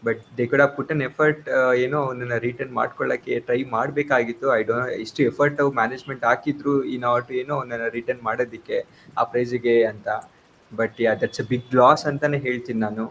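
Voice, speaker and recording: A man's voice: mid-range voice, steady tone, rapid-fire, vocal fry, fairly bright tone, slight background noise, rough recording. A man with a South Indian accent discussing cricket casually as a panelist, switching between two languages mid-sentence. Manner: mixes Kannada and English mid-sentence.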